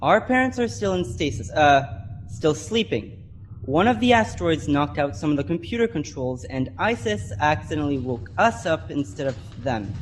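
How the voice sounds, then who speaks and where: A take-charge teenage boy played by a young male actor in a sci-fi radio drama. His delivery is reassuring, with a very lively range of pitch. There is audible noise behind the voice. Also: natural voice. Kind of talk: sci-fi radio play acting.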